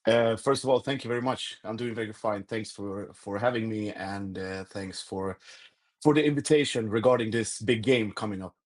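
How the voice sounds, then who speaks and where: A man with a Swedish accent, a native Swedish speaker, chatting as a podcast guest and pausing often to search for words. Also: lowish voice. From Sweden. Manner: halting, repeats words while searching for English phrasing. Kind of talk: casual conversation.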